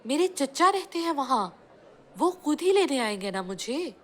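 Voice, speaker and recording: A woman's voice: high voice, very animated, unhurried, voice pushed up. A woman voicing a curious, stubborn young village boy in a children's cartoon story, with an insistent, questioning manner. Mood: eager, puzzled.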